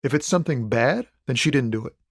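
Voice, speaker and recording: Casting: a game's laid-back lorry driver. Medium pitch, casual and earnest, streetwise, halting when pressed to betray a friend; soulful, loyal. Dry recording. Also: male voice, lively intonation, no room sound. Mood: relieved, mellow. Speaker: video game voice actor. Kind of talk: conversational video game character dialogue.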